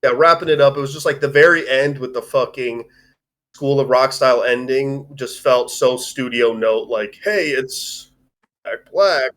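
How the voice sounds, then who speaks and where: A man's voice: mid-range voice, steady tone, normal speed, a bit bright. A man co-hosting a livestream, casually talking through a movie review in a rambling way with frequent swearing. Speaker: stream co-host reviewing a movie.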